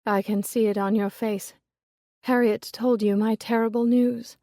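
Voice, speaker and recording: A woman voicing a genteel young lady who speaks softly and pleads in distress. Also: a little high, little pitch movement, measured pace, no room sound, clean studio sound.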